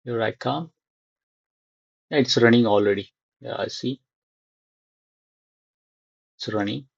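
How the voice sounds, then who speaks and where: A man giving an unscripted screen-share software tutorial, thinking aloud in short, halting fragments. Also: mid-range voice, gravelly, breathy edge, no echo, lo-fi sound.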